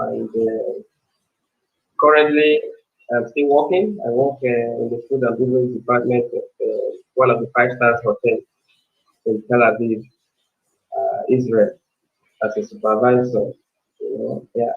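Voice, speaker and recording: A man talks easily as a guest, friendly and relaxed, with plenty of "uh" and "you know" fillers. His mid-pitched voice comes through a thin, phone-like call line. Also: a little slow, vocal fry, long pauses. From Nigeria. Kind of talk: casual interview.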